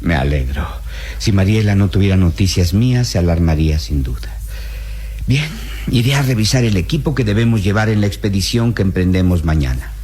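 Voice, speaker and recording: An actor playing an elderly, idealistic professor in a radio serial, earnest and formal. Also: male voice, low voice, lively intonation, a little fast, darkish tone, slight background noise. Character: elderly idealistic male professor, principled, longing father. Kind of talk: old radio serial acting.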